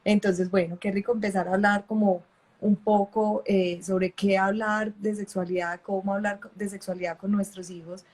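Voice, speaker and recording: A woman's voice: mid-range voice, little pitch movement, normal speed, a little mellow. A woman, a mother who works with families, hosting an online live interview in a conversational way. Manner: conversational, acts out parents' questions. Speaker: host working with families.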